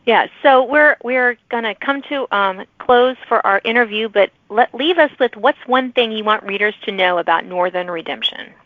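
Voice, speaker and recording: A woman's voice: a little high, normal speed, few pauses, dry recording. A woman hosting a podcast interview, conversational and encouraging, affirming her guest and putting questions. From lives in Virginia, United States. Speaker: podcast host, author. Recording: thin phone-like band, dry.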